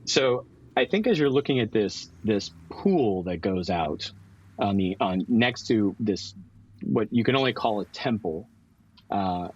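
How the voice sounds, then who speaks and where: A man acting as game master, narrating a tabletop roleplaying scene in halting, descriptive phrases. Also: fairly low pitch, muffled recording.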